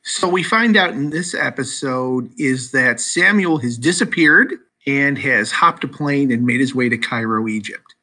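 A man's voice: medium pitch, expressive, a little slow, few pauses, sharp tone. A man hosting a podcast, interviewing guests in a hesitant way and often repeating words. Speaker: podcast host.

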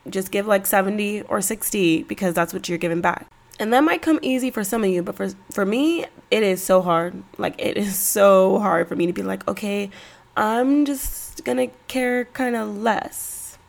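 A woman in her early thirties hosts her own podcast, her nose stuffed up from a cold. Her voice has a gravelly, creaky edge, and she rambles in a loose, slangy way. Her pitch sits in a middle range for a woman. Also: moderate pace. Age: 30s. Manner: chatty, rambling, slangy, laughs between thoughts. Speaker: podcast host. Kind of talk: casual solo podcast chat.

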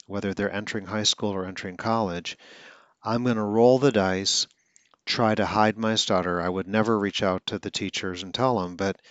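A man's voice: on the low side, fairly bright tone, dry recording, muffled recording. A man from Atlanta, Georgia, speaking as a speech coach in a casual, earnest way, with occasional stutters and self-corrections. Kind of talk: casual talk.

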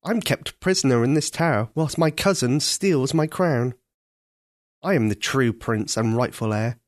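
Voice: mid-range voice, animated, measured pace, dry recording, studio quality, natural voice. A storyteller voicing a young imprisoned prince who speaks formally and earnestly. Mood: despairing.

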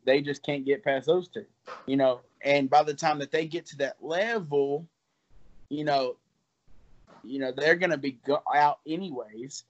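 A man's voice: fairly high pitch, unhurried, muffled recording. A man in his twenties, a fighter, talking casually in an interview in a loose, rambling way with some laughs. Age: 20s.

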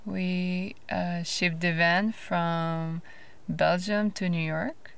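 Lowish, creaky woman from Guatemala. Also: steady tone, very slow. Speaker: interview guest, van traveler. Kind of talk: casual conversational interview. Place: inside a camper van.

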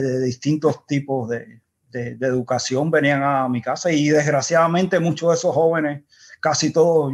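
A man with a Cuban accent, a guest in an interview, recalling the past in a reflective, unhurried way. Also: mid-range voice, breathy edge. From Cuba. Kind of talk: conversational storytelling.

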